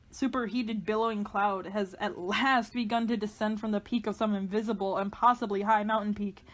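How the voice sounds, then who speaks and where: A woman's voice: medium pitch, lightly acted. Haunted, brooding narrator voiced by a woman. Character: haunted, paranoid narrator unravelling over an obsessive manuscript. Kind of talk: dramatic monologue reading.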